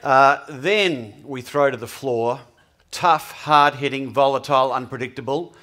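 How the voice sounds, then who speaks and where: A man's voice: medium pitch, measured pace, pushed and bright. A middle-aged man hosts an interview on stage in a large hall, wearing a headset mic. He asks questions with dry humour, often restarting his sentences mid-thought. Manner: wry, joking, with hesitant restarts. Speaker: host interviewer.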